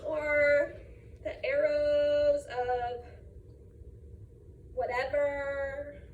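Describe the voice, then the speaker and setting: A woman's voice: sits high, completely flat delivery, very slow, dull audio. A woman playing a bored, inarticulate girl who drawls through Shakespeare with indifferent slangy fillers in a stage monologue. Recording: roomy, distant mic, faint noise.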